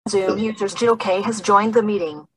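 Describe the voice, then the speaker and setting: A woman's voice: mid-range voice, fairly even intonation, normal speed, a bit bright, low-quality recording. A synthetic woman's voice reading out automated meeting notifications. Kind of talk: synthetic screen reader notification.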